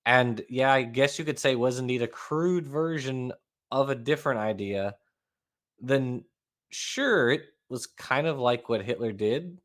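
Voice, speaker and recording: A man's voice: medium pitch, unhurried, fairly bright tone. A man with a Southern accent hosting a casual panel chat, reading out posts and answering them with wry sarcasm. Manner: wry, sarcastic, laughs often. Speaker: host.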